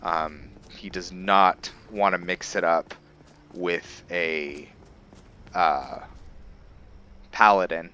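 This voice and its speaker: A man giving casual commentary on a roleplay game, halting and musing as he thinks aloud. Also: low voice, fairly even intonation, bright, forceful tone, clear, resonant tone, lo-fi sound. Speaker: host of a game roleplay series. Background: background music.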